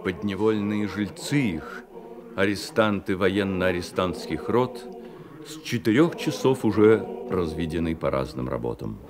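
A man narrating a literary story for a Soviet-era radio play, measured and expressive, with deliberate pauses. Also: low voice, background noise. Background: music.

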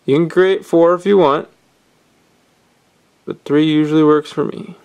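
A man calmly explaining step by step how to tie fishing knots, talking while his hands work at a table indoors. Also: a little high, measured pace, clean tone. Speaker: fishing instructor. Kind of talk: hands-on knot-tying tutorial.

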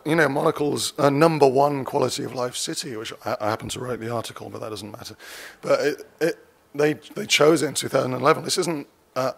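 A man's voice: medium pitch, gravelly, husky. A man in his forties giving a conference keynote from a lectern, conversational and wry, often correcting himself mid-sentence. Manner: conversational, self-correcting, dryly wry asides. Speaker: keynote speaker. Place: conference stage. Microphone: lectern mic.

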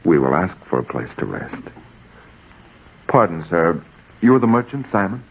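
Deferential biblical carpenter: very low, measured and earnest, muffled 1940s radio sound. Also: male voice, very animated, a little hiss or hum, exaggerated voice. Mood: humble, pleading. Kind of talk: 1940s radio drama acting. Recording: dull, husky-sounding 1940s radio recording.

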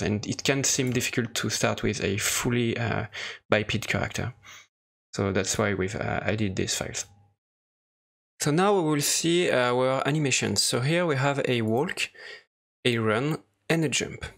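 Guy with a creaky voice walking thru software, kinda halting, mid pitch. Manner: hesitant, halting explanation. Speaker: software tutorial presenter. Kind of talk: unscripted screen-recorded tutorial narration.

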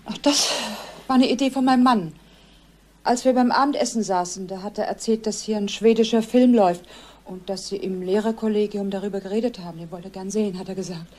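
An actress playing a guarded, polite wife being questioned in a radio crime drama. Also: female voice, mid-range voice, steady tone, a little fast, a little mellow, lightly acted. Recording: faint background noise.

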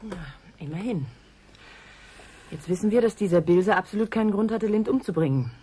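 Actress doing sober sceptical woman, radio play, mellow voice, matter of fact with dry irony. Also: medium pitch, normal speed, a little hiss or hum, natural voice. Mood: probing. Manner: matter-of-fact, dryly ironic, probing. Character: sober, sceptical woman delivery driver turned investigator. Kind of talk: German radio play acting.

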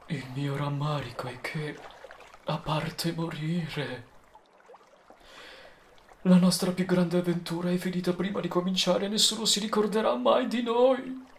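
A man playing an excitable, dreamy young treasure hunter in a theatrical fan dub of a cartoon adventure. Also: high-pitched, unhurried, slight background noise. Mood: wistful. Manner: theatrical, exuberant. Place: home room with shelves. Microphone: microphone on boom arm, headset. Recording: roomy echo with film music behind.

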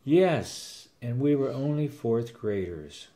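Man in his sixties, grandfather narrator, scripted storytelling, acted dialogue, medium pitch. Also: expressive, takes it slowly, mellow.

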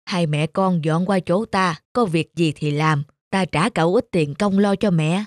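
A woman voicing a kindly middle-aged male cloth merchant, calm and encouraging, in an animated folktale. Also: lowish voice, a little slow, no room sound, clean studio sound, lightly acted. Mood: reassuring. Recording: music under the dialogue.

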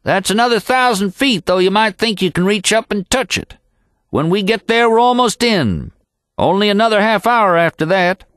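A man voicing a weathered old mountain packer who yells everything in a folksy rustic drawl. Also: high voice, animated, moderate pace, no echo, studio quality, pitched above the performer's own voice. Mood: hearty yelling.

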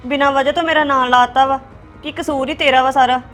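A woman's voice: high-pitched, steady tone, quick pace, fairly bright tone, faint music behind. An actress playing a young wife who complains tearfully that she is blamed for nothing. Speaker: young wife in a family quarrel. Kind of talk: family drama acting.

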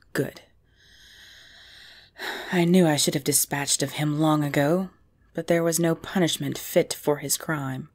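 Woman acting a low, slow, commanding vampire queen. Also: darkish tone. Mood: controlled, authoritative. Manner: measured, formal, imperious. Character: commanding, possessive female vampire ruler and lover. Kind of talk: ASMR roleplay audio drama.

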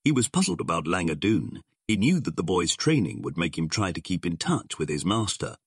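A man with a husky voice reading scripted narration of a pulp adventure story as an audiobook narrator. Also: sits low, big pitch swings, brisk, no echo, clean studio sound.

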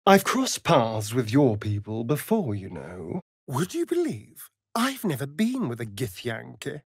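Casting: flamboyant vampire rogue for a fantasy game. Arch and sardonic, breathy, unhurried drawl. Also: male voice, mid-range voice, wildly swooping pitch, dry recording, clean studio sound, exaggerated voice. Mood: arch, teasing. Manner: theatrical, arch, drawling delivery. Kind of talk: video game voice acting.

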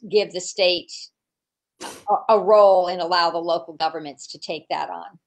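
A middle-aged woman, a state legislator, explaining policy in a measured way over a video call from her living room. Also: fairly low pitch, steady tone. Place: living room at home, indoors. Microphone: video call webcam.

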